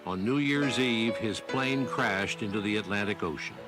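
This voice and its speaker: A man's voice: on the low side, moderate pace. A man reading scripted sports documentary narration. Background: loud music and crowd noise.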